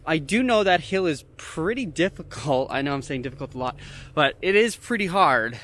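A young man chatting casually as he drives a pickup truck, thinking aloud in a relaxed way. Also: moderate pace. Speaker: vlogger. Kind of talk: casual driving vlog narration. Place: inside a pickup truck cab in the snow. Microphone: mounted dash camera. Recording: loud car noise in the cab. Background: loud engine and road rumble.

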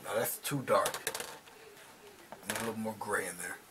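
Low-voiced man murmuring through a painting lesson. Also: moderate pace, noisy background. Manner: relaxed, talks while he paints, drops to low murmurs over the brush work. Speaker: painting instructor. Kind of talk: casual painting demonstration. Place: small home studio, indoors.

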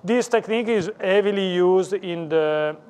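A man with an Italian accent, a native Italian speaker, lecturing in halting English that he often corrects mid-sentence. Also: high voice, darkish tone, smooth. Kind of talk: technical conference talk. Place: lecture room.

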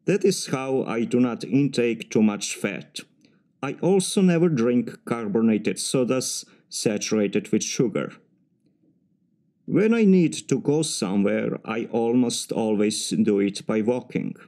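A man with a Serbian accent speaks in a formal, measured way, phrasing things a little stiffly. His voice sits at an ordinary pitch with a dark, mellow tone. He delivers practice answers as if timed for a spoken test. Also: animated.

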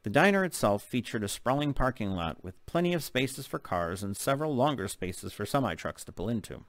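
A man's voice: medium pitch, very animated, fairly quick, dry recording. A middle-aged man reading a novel aloud in an audiobook narration style. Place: open living room at home. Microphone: desk microphone close in front.

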